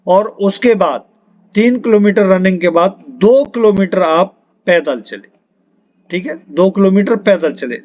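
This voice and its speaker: A man coaching students in an informal, instructive way, repeating key points and often adding short check-in tags. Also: sits high. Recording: dull lo-fi audio.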